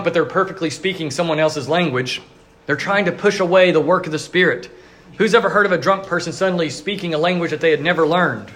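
A man, a pastor, preaching a sermon in an earnest storytelling way, now and then voicing the crowd in quick asides. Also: fairly high pitch, fairly bright tone.

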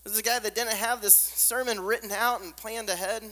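Squeaky voiced guy preaching, repeats lines for punch, goes hushed, long hall echo. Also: steady tone, a little fast. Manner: emphatic repetition, drops to soft near-whispers. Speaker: pastor. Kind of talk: sermon. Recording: long reverb of a large hall.

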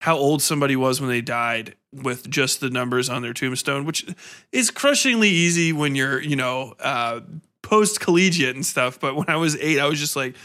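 A man in his thirties with a bright, forward voice hosts a casual interview. He talks informally and wanders into anecdotes as he goes, at a middling pitch. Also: normal speed. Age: 30s. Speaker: podcast host and interviewer.

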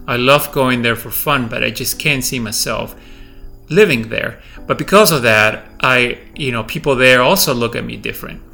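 Gravelly bright-voiced Peruvian-accented man. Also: medium pitch, normal speed, faint music behind. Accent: Peruvian Spanish. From Peru. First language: Spanish. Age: middle-aged. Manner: hesitant, many fillers and restarts. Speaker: podcast host. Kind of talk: personal reflective monologue.

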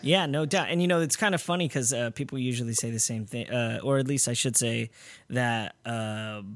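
A man hosting a podcast interview, chatting casually in a slangy, excitable, informal bantering way. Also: medium pitch, normal speed. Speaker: podcast host.

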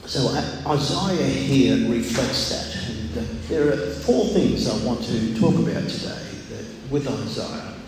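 An older man with a loud voice preaches a sermon in a measured, deliberate manner with frequent pauses. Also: medium pitch, lively intonation. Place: large echoey room, speaking to a congregation. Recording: very echoey hall, clear background noise.

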